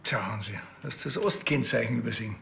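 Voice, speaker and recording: A man's voice: mid-range voice, fast talker, vocal fry, a bit bright, reverberant, a little hiss or hum. An actor playing a dry, needling policeman in a TV drama, with a Bavarian accent. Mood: dry teasing.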